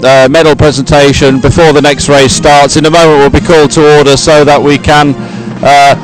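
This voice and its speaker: A man presenting a live sports broadcast, ad-libbing commentary in a halting, hesitant way. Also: a little high, flat delivery, low-quality recording. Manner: hesitant, halting ad-libbed delivery. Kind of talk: live unscripted event commentary.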